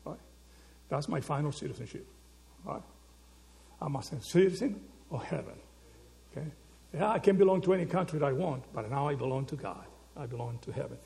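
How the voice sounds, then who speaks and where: A male preacher with a gravelly, creaky edge to his voice. He sits slightly high for a man and works through his points with rhetorical questions, checking in with the congregation again and again. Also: fairly quick, long pauses, warm tone. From Argentina. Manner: rhetorical questions, frequent "okay?" and "right?" check-ins. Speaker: pastor. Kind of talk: sermon.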